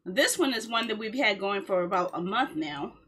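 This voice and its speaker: A woman's voice: mid-range voice, expressive, normal speed, sharp tone, breathy edge. A woman game streamer chatting casually on a livestream in a playful, rambling way.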